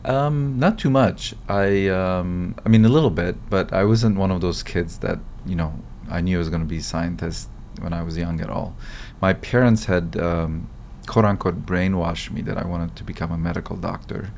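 A man in his fifties from Lebanon, talking modestly in an interview, halting now and then with fillers. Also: low voice, gravelly, darkish tone. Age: 50s. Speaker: guest scientist. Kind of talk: casual interview talk. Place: office. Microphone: desk mic. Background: faint fan noise.

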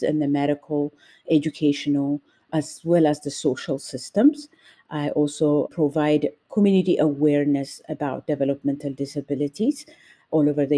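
A woman from Ethiopia, a nurse and family advocate, speaking in a measured, deliberate way as an interview guest. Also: low voice, dark tone.

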